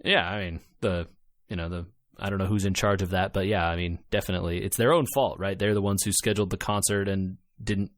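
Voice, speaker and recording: A man's voice: sits low, steady tone, a little fast, hardly any pauses, dry recording. A man co-hosting a podcast in casual sports chat, talking in a loose, hesitant way. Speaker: podcast co-host.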